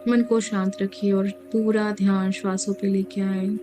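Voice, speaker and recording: A woman leading a guided meditation as a teacher, speaking calmly in short, measured phrases with pauses. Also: medium pitch. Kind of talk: guided meditation, slow soothing instruction. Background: music.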